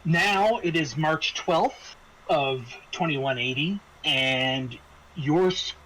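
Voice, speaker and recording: Slow, halting man as game master. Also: on the high side, bright, forceful tone, a little hiss or hum, poor audio. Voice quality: halting delivery with frequent hesitations and restarts. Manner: casual, explanatory. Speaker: game master of a tabletop roleplaying session. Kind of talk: semi-improvised briefing to players, narrating a scenario.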